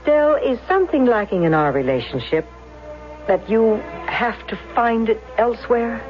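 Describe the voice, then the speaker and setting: An actress playing an insistent, solicitous wife who coaxes and presses with questions in a 1970s radio drama. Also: female voice, on the high side, big pitch swings, soft background music. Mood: probing. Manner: coaxing, deliberate, pressing with questions. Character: insistent, solicitous, probing wife managing her troubled husband. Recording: dull vintage radio recording.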